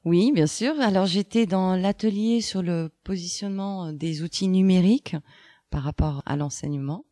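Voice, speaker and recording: A woman answering as an interview guest on the radio, measured and pausing between phrases. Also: lowish voice, dark tone, dry recording, clean studio sound. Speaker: English teacher, interview guest.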